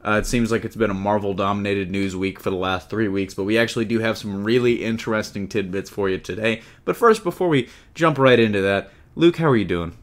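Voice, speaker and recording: A man with a fairly low voice hosts a casual entertainment podcast. He talks in a chatty, joking way, bantering as he moves through the items. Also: fairly quick, few pauses. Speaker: podcast host. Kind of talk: casual podcast chat about comic-book film news.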